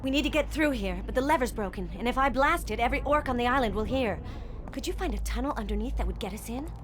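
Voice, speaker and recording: A woman's voice: fairly high pitch, lively intonation, fast, noisy background, lightly acted. An actress playing an earnest, commanding young noblewoman mage in a fantasy video game. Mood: brisk, determined. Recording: game music behind the voice.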